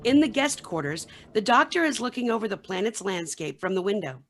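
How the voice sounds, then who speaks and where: A woman's voice: mid-range voice, big pitch swings, brisk, faint music behind. A woman reading scripted narration and stage directions for a radio play.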